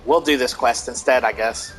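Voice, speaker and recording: A man's voice: medium pitch, moderate pace, a bit bright, low-quality recording. A man giving casual let's play commentary in a wry, mock-exasperated way, with game music behind. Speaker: gaming let's player.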